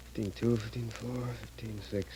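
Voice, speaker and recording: Male actor as ailing elderly husband, weary, halting, subdued, lowish voice, 1930s radio acting, noisy old recording. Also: little pitch movement, mellow, natural voice. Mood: strained, subdued.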